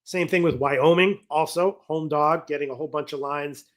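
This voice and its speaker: A man hosts a sports betting show, his voice a little high and very bright. He rambles, breaks off to correct himself and reads viewer comments aloud. His voice is starting to go hoarse. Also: moderate pace. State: losing his voice. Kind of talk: casual betting talk on a livestream.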